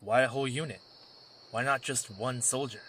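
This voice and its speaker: A man's voice: mid-range voice, moderate pace, bright, forceful tone, breathy edge. A man playing a cocky wolf drifter, a former warrior, in an audio drama, with a sarcastic, casual delivery. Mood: wry.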